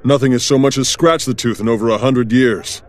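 A man's voice: mid-range voice, steady tone, fairly quick, natural voice. A man playing a bold young warrior hero. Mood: skeptical. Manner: bold, declamatory. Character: young, boastful, determined male Norn warrior hero. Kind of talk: fantasy video game dialogue.

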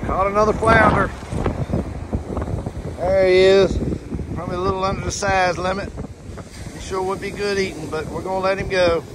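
A man's voice: high-pitched, steady tone, normal speed, pauses often. A man filming with a phone on a windy ocean beach, narrating a fishing trip in an easygoing, chatty way. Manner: easygoing, chatty, drawling remarks. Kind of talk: casual narration behind the camera. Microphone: handheld phone camera. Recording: wind-buffeted outdoor phone audio. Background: loud wind and crashing surf.

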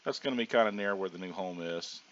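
A middle-aged man with a low voice, hoarse from a cold, walks viewers through his game in a loose, rambling way. He is full of ums and half-started sentences. The audio sounds dull and lo-fi, like a cheap home microphone. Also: fast talker. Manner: relaxed, rambling with frequent ums and restarts. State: hoarse from sinus trouble. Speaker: gaming channel host. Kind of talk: casual gameplay commentary.

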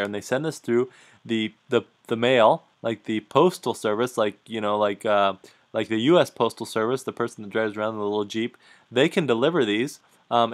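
A man giving a casual, halting explanation of beekeeping over a slideshow, often restarting and correcting himself. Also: medium pitch. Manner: halting, many restarts and fillers, self-correcting.

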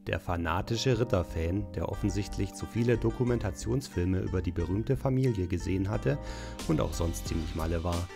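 A man's voice: low voice, normal speed, vocal fry, music in the background. A man playing the dry narrator of an audio drama parody, reading scripted narration. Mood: matter-of-fact. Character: dry, low-voiced male storybook narrator.

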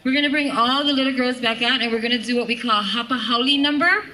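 A woman's voice: on the high side, fast, sharp tone, poor audio. A middle-aged woman emceeing a hula show on an outdoor stage, chatty and coaxing, directing children as she explains the dances. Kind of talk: live stage emcee with singing. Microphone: stand mic on stage, camera out in the audience.

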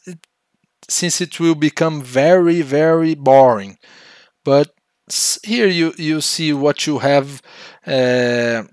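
A young Brazilian man whose first language is Portuguese, reviewing a game CD in a halting, careful way on a headset mic. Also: a little high, no room sound, studio quality. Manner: halting, restarts words often, slow and careful. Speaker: retro game collector reviewing a CD release. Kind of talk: casual show-and-tell review. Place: small room indoors.

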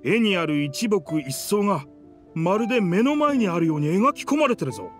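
Game role: proud living pirate ship, hearty and theatrical, high-pitched with big swings, faint music. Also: male voice, big pitch swings, normal speed. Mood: amazed. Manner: boastful, theatrical, rough masculine speech. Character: talking pirate ship, proud boastful male, hearty and dense. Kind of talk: Japanese game dub dialogue. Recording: music under the dialogue.

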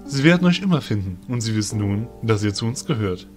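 A man's voice: fairly low pitch, lively intonation, faint music behind, lightly acted. A man acting a proud, courteous fairy soldier guide with a formal manner in a fantasy audio drama. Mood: proud, assured. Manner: measured, formal.

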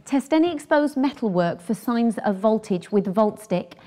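A woman's voice: fairly high pitch, lively intonation, moderate pace, dark tone. A British woman in her thirties presenting scripted instructions for a training film.

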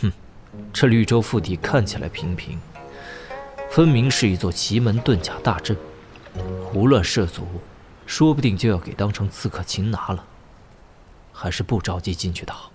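Male actor as young noble wanderer, lowish voice, calculating inner monologue, audio drama, clear background music. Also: lively intonation, normal speed, pauses often, a little mellow, lightly acted. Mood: wary, musing. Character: wary young nobleman wanderer musing to himself.

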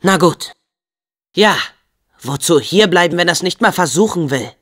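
An eager brave teen boy game hero, really animated, unhurried, big long reverb on it. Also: sits high, full character voice. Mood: earnest. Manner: eager, expressive, youthful delivery. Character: upbeat, brave, earnest teenage boy hero. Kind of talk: German video game dub acting.

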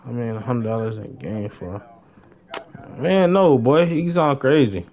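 A man's voice: medium pitch, animated, unhurried. A young American man chatting casually on a gaming livestream in heavy slang, often repeating his phrases. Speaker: live streamer. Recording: dull, noisy game stream audio.

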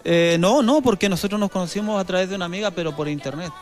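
A man in his thirties with a Chilean accent, a guest singer chatting earnestly on a TV studio set into a handheld mic, with some halting restarts. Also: high voice, soft background music. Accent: Chilean Spanish. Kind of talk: casual chat.

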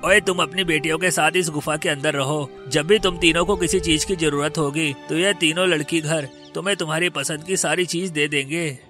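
A man's voice: a little high, steady tone, moderate pace, few pauses, bright, forceful tone, soft background music. A man voicing a commanding magical moon spirit. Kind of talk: animated moral story.

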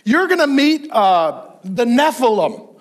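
A man of fifty-one preaching a sermon on a large church stage, animated and joking as he gestures. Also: extremely high pitch, unhurried. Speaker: pastor.

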